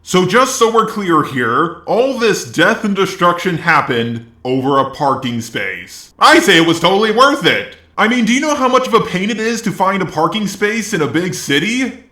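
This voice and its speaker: A man's voice: high-pitched, very animated, normal speed, sharp tone, echoey room. A man's synthetic robot voice narrating a scripted review with sarcastic, profane asides. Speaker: video reviewer.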